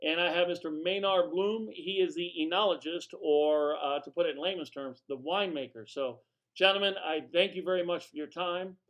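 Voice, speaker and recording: A man interviewing his guests, asking halting questions padded with fillers. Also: a little high, fairly bright tone, lo-fi sound.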